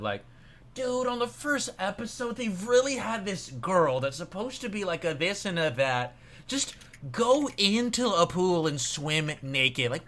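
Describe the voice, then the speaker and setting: Young male streamer, bright tone, rambling review chat, drops to a mumble often. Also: on the high side. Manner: rambling, many fillers, trails off quietly. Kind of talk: casual review chat on a livestream.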